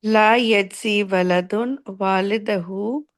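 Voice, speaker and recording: A woman student reading aloud and translating, slowly and carefully, with hesitant pauses. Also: medium pitch, fairly even intonation.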